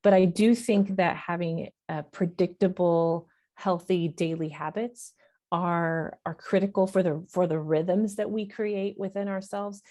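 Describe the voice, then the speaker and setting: A woman's voice: lowish voice, steady tone, a little slow, dark tone. A woman counselor talking earnestly in a podcast interview, repeating her main points for emphasis. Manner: talks in long flowing runs with fillers. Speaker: professional counselor.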